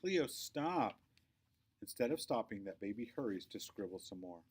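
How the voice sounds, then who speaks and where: A man reading a children's story aloud with expressive, dramatic phrasing. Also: on the low side, fast talker, slightly rough. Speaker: audiobook-style reader.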